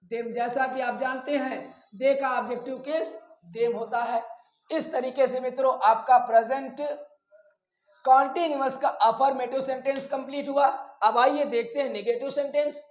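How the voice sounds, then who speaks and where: A man's voice: very high voice, dull audio. A man with an Indian accent teaching grammar step by step, dictating English examples word by word. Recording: echoey room.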